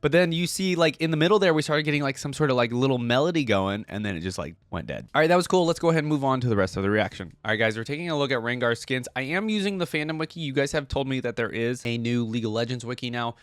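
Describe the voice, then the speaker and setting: Man with lively, swooping casual delivery. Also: mid-range voice, fast talker, hardly any pauses, no room sound, clean studio sound. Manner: informal, thinking aloud with frequent "like" and "giving". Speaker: video host reacting to game content. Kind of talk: casual reaction commentary.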